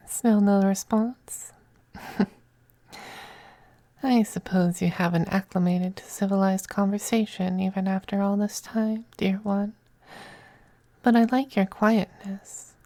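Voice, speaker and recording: A man playing a slow, whispery, possessive femboy vampire. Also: high voice, no room sound. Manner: soft, breathy half-whispering, languid and condescending. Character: possessive femboy vampire lover, male, silky and teasing. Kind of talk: ASMR roleplay.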